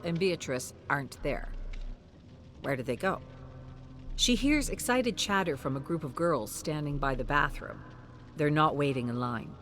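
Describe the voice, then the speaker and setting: A narrator reading a scripted story in an expressive storytelling manner. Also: medium pitch. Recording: music under the voice. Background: music.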